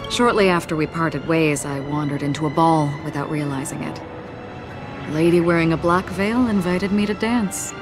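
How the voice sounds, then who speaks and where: A woman's voice: low-pitched, normal speed, music in the background, natural voice. A woman playing a courteous, composed and mysterious lady with formal phrasing in a video game. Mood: wistful. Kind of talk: video game dialogue acting.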